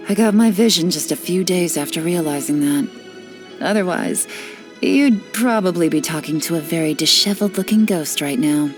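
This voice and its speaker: Woman as a sly, teasing game spy, low voice, relaxed and in control, faint music under it. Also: close to an everyday voice. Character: cool, teasing female spy, sly and unhurried. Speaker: game character voice lines. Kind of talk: studio game voice-over.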